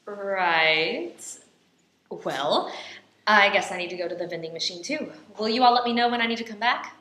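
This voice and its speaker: Woman playing a mom in a radio play, sharp bright voice, kinda roomy. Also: medium pitch, moderate pace, lightly acted. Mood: pleasant. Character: mother, exasperated, scolding her children. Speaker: actor in an amateur radio play. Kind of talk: radio drama acting. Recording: roomy sound.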